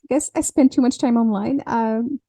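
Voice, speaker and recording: A woman's voice: on the high side, mellow, no echo. French-speaking woman, dry close sound. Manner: halting, with many fillers and restarts. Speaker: podcast guest, alignment coach. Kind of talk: casual interview chat.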